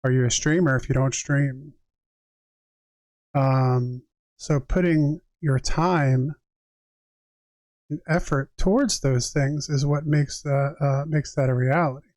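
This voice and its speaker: A man's voice: medium pitch, fairly even intonation, takes it slowly, long pauses, a little mellow, dry recording. A man giving a tarot reading on a livestream, conversational and reflective, moving between reading aloud and chatting directly to viewers. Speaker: tarot reader and live streamer.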